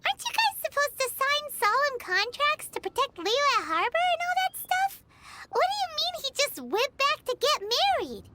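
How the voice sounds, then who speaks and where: A woman voicing a tiny, childlike fairy companion in a video game, inquisitive and cheeky, with a squeaky voice and exclamatory delivery. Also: big pitch swings, moderate pace, background noise. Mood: skeptical. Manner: exclamatory, questioning, refers to herself by name. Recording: playful music under the voice. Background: tinkling playful music.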